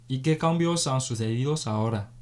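A man hosting a radio interview in a studio, welcoming guests and asking questions in measured, formal phrasing. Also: mid-range voice. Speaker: radio host.

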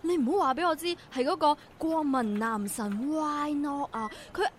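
An actress playing an excitable, starstruck young office worker in a radio drama, nosy and exclamatory. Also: female voice, high-pitched, normal speed, a little hiss or hum, natural voice. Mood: amazed. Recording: music behind. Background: music.

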